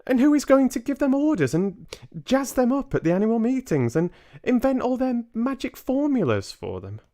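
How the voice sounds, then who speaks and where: A man voicing an excitable small boy turned mouse, eager and wide-eyed in his questions, in a storybook reading. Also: extremely high pitch, normal speed, voice pushed up, heavily acted. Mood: astonished, alarmed.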